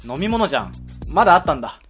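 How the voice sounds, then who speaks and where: A man's voice: fairly high pitch, very animated, very fast, fairly bright tone, soft background music. A man voicing a friendly, oblivious male office coworker in a comedy radio drama, talking casually. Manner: casual, easygoing talk.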